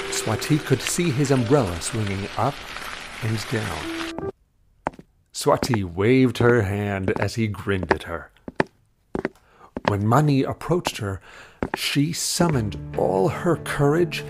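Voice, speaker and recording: A man delivers scripted narration for an audio drama. His voice sits at a middle pitch. Music is clearly audible under his storytelling.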